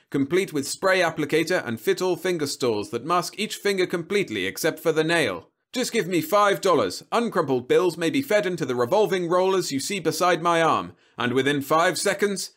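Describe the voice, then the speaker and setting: A man voicing a smooth, persistent robot salesman with polished, winning sales patter in a storybook reading. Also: fairly high pitch, animated, normal speed, few pauses, fairly bright tone, pitched above the performer's own voice. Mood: persistent pitch.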